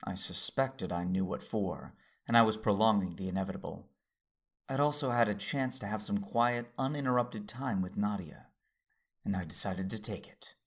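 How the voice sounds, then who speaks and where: Composed Jedi master, male, low gravelly voice, husky, measured, tender, sci-fi audio drama narration. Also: a bit bright. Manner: measured, formal, unruffled. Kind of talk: first-person dramatic narration.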